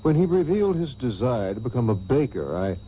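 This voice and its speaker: A man acts out a pompous, middle-aged society father in a comic radio melodrama. He frets in a stuffy, halting, lively-pitched voice, heard on a dull old tape with faint hum. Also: natural voice. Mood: indignant, wounded. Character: pompous, fretful wealthy father, self-pitying snob. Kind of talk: melodramatic radio soap parody.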